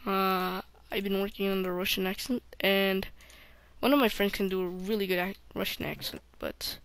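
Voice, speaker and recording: A woman's voice: mid-range voice, fairly even intonation, normal speed, fairly bright tone, no room sound. A woman hosting a game playthrough, rambling and often dropping to a mumble. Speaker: let's play host. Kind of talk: gameplay commentary.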